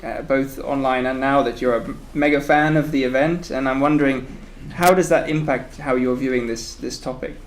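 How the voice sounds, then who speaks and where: A roomy recording with faint background noise carries a man chairing a panel. His voice sits at an ordinary pitch, and he puts questions in a polite, informal, tentative way. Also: steady tone, moderate pace, hardly any pauses. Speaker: panel moderator. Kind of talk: informal moderated discussion. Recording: echoey room with faint noise.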